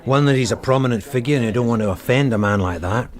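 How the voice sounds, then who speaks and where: An actor with a British accent playing a tactful, steady detective sergeant who explains things frankly in a radio drama. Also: male voice, medium pitch, close to an everyday voice. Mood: explanatory, tactful. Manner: measured, explanatory, deferential yet frank. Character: steady, tactful male detective sergeant advising his superior.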